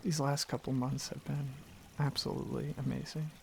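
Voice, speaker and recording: A man playing a gentle, affectionate dragon boyfriend in an intimate ASMR roleplay, speaking softly and earnestly with some hesitant rambling. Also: mid-range voice, level tone, normal speed, a little hiss or hum, lightly acted. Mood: loving, tender. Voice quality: soft, husky, slightly gravelly, breathy.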